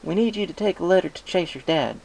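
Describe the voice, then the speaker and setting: A man's voice: high-pitched, animated, fast talker, vocal fry, darkish tone, pitched above the performer's own voice, heavily acted, rough recording. A man voicing a plain-spoken, practical female country pony with a blunt, folksy manner. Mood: matter-of-fact.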